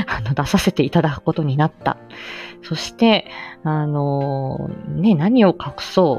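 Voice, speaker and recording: A woman's voice: sits low, a little mellow, muffled recording. A woman hosting a casual solo livestream chat, rambling along in a self-effacing, giggly manner. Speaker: livestream host, speech-language therapist.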